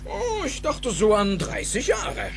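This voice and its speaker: An actor playing an excitable older male inventor in a film audio drama, theatrical and exclaiming. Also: sits high, takes it slowly, heavily acted. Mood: amused, sly. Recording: music under dialogue.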